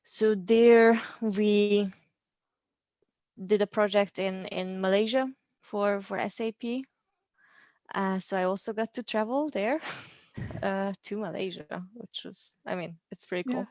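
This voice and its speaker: A woman's voice: mid-range voice, fairly even intonation, slow pace, darkish tone, dry recording. A Hungarian woman in her thirties, a guest in a casual interview, talking in a friendly way with frequent ums and light laughs. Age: 30s.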